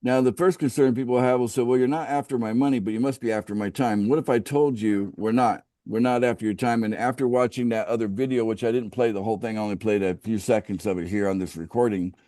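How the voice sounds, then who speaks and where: A middle-aged American man from the West Coast, pitching a business opportunity on a casual group call, often restarting sentences and asking the group for agreement. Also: steady tone, quick pace, hardly any pauses, dark tone, no room sound, studio quality. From United States, West Coast. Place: outdoors by a home pool.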